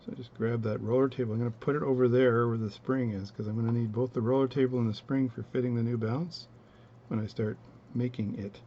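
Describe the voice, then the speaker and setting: A man with a low voice talking through his watch repair at a home workbench, relaxed and rambling as he narrates each step. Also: fast, highs cut off, a little hiss or hum. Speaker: watchmaking hobbyist demonstrating. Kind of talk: casual bench demonstration, talking while working.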